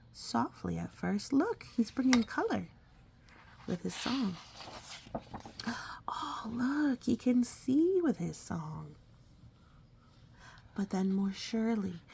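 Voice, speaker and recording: A woman reading a picture book aloud to a young child, expressive and coaxing, often dropping into a breathy whisper and adding chatty asides. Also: on the high side. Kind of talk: storybook read-aloud with conversational asides to a child.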